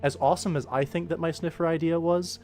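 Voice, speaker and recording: A man's voice: on the high side, fairly even intonation, fast talker, a little mellow. A man chatting casually as he hosts a working animation livestream. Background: faint background music.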